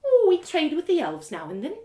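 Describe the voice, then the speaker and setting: Woman playing a high-pitched, animated gossipy innkeeper. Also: brisk. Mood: merry gossip. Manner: folksy, effusive, rustic turns of phrase. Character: gossipy motherly innkeeper woman, merry but quick to outrage.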